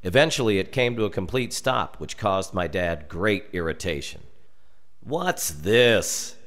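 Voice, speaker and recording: An American man reading a darkly humorous story aloud in an expressive, measured and dramatic storytelling manner. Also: low-pitched. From United States. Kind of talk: expressive scripted narration of a humorous dark tale.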